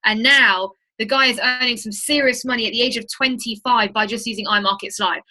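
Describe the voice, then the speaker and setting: A woman's voice: fairly high pitch, sharp tone, rough recording. A woman hosting an informal online training webinar, chatty and emphatic, urging her listeners on as she talks to them directly. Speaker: webinar host and trading mentor.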